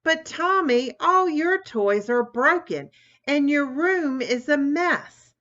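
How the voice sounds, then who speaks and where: A woman voicing a bossy, bragging young girl turtle in a storybook, boastful and shrill when angry. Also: very animated, unhurried, fairly bright tone, lo-fi sound, heavily acted. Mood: smug.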